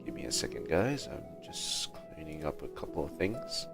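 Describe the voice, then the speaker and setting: A man's voice: extremely low pitch, very animated, moderate pace, vocal fry, loud music behind the voice. A man hosting a casual gaming livestream, talking in a hesitant, rambling way. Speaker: livestream host.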